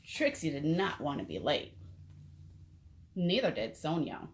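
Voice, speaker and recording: A woman reading a picture book aloud to children as an expressive storyteller. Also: low-pitched, unhurried.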